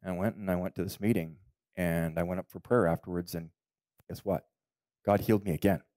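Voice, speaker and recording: Pastor with a low voice. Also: male voice, steady tone, fairly quick, no room sound. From Canada. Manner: storytelling, rising to loud emphatic peaks. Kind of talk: sermon with personal testimony. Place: church stage, indoors. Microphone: headset mic.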